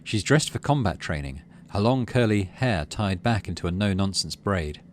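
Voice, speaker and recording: A man's voice: deep voice, animated, normal speed. A middle-aged man giving casual let's play commentary and story narration, thinking aloud as he goes. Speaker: gaming video host.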